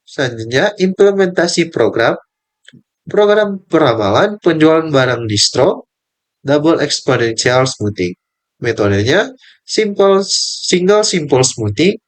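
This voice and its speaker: A young male student presenting his part of a group lesson, reading out steps and table values with small slips and restarts. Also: on the high side. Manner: reads numbers in steady lists, with small slips and restarts.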